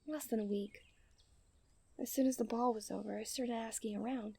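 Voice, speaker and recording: A young woman playing a coy, refined and tender princess in a romantic ASMR roleplay, speaking in playful storybook diction that often softens to a near-whisper. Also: on the high side, steady tone, normal speed, gravelly, slightly rough. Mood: playful, knowing. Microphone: close studio-style microphone.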